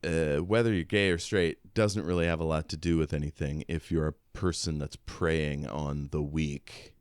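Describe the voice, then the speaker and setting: A man's voice: extremely low pitch, steady tone, moderate pace, smooth, no echo, studio quality. A man co-hosting a podcast, talking casually and reflectively about a book in informal, thought-out phrases. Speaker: podcast co-host. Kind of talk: casual book discussion chat.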